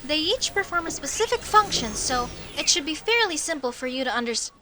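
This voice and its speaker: A woman's voice: high-pitched, a little fast, slight background noise, exaggerated voice. A woman playing a young elvish mage in a comedic audio roleplay, outspoken, curious and easily indignant as she talks to the listener. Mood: proud, eager. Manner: expressive, questioning, proudly defensive.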